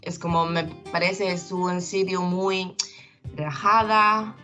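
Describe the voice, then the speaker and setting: A woman's voice: fairly low pitch, steady tone, slow, pushed and bright, muffled recording, faint music behind. A young woman whose first language is Chinese, talking casually over a video call and often searching for words. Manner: hesitant, searching for words, many restarts. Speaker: interview guest, Chinese teacher. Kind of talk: casual interview in learner Spanish. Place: home in Galicia, video call.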